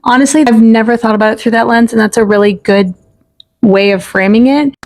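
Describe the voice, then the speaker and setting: A woman co-hosting a casual, supportive chat, speaking in a gently reassuring, affirming way. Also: fairly high pitch, steady tone, brisk. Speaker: podcast co-host. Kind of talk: casual supportive conversation about self-care.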